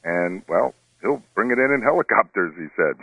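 A man's voice: on the low side, expressive, normal speed, pushed and bright, breathy edge, no echo, rough recording. A man co-hosting a radio show as its money expert, talking with dry, wry humor. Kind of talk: casual radio chat.

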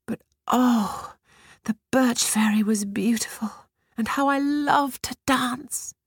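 A woman voicing a dutiful, tearful young peasant girl in a storybook, with a high, breathy, pleading voice. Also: slow pace, dry recording, studio quality, full character voice. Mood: wistful.

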